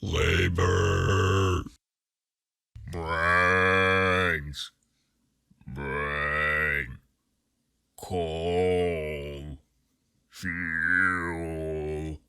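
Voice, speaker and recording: A very deep British zombie labourer, moaning out words at an extremely slow crawl. The groans are slurred and drawn out, sharply forceful, like a hungry undead game monster. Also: male voice, flat delivery, gravelly, clear, resonant tone, dry recording, clean studio sound, full character voice. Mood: groaning, hungry. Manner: drawn-out single-word moans. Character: groaning British working-class factory zombie.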